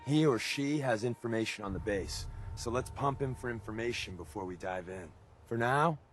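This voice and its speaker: An actor playing a breezy, wisecracking resistance spy in a video game, talking in a glib, casual, confiding way. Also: male voice, mid-range voice, moderate pace, faint music behind, close to an everyday voice. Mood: casual briefing.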